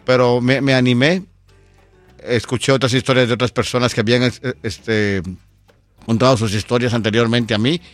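A middle-aged man from Guatemala, telling his story as a radio guest, unhurried with many fillers. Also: mid-range voice, level tone, no echo, soft background music. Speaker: storyteller and event producer, guest. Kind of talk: radio interview. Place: radio studio.

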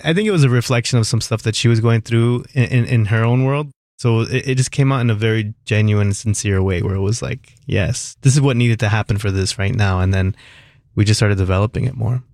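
A young adult man from South Florida, a musician guest chatting casually in a radio interview, relaxed and rambling with many fillers. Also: lowish voice, a little fast, no room sound, studio quality.

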